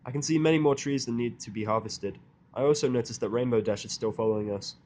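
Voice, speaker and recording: A man's voice: mid-range voice, fast talker, darkish tone. A man narrating a first-person story in character, with a dry, sardonic manner. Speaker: audiobook narrator.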